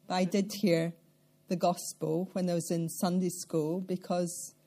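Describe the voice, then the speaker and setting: An older Scottish woman speaks in a lowish, mellow voice. She tells her story in a measured, reflective way, taking her time between thoughts. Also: flat delivery. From central Scotland. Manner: measured, reflective, with occasional soft laughs and throat clearing. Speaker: interviewee. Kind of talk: life-story interview.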